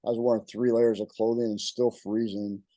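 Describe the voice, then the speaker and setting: A man's voice: lowish voice, little pitch movement, moderate pace, dark tone, no echo. A man in his sixties from Texas, telling running stories in a rambling, stop-start way. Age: 60s. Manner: rambling, stop-start storytelling with frequent restarts. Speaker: guest ultrarunner. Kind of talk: casual chat.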